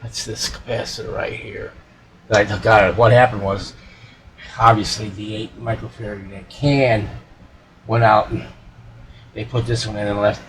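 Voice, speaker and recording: A man's voice: fairly low pitch, fairly even intonation, moderate pace, long pauses, poor audio. A man giving casual, unscripted commentary as a repair hobbyist, folksy and muttering to himself.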